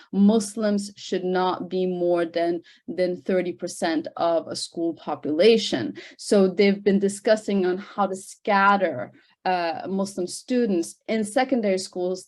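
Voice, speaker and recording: A woman speaking as a researcher on a panel, explaining in a semi-formal way, with halting repetitions and stressed key words. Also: on the low side, darkish tone. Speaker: panel speaker, researcher presenting report findings. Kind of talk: semi-formal spoken presentation at an online discussion.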